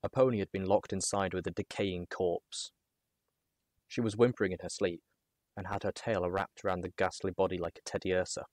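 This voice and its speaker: Low-voiced man narrating a story, close and dry. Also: steady tone, normal speed, a little mellow, no room sound. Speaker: narrator reading a story aloud. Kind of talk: expressive first-person audiobook narration.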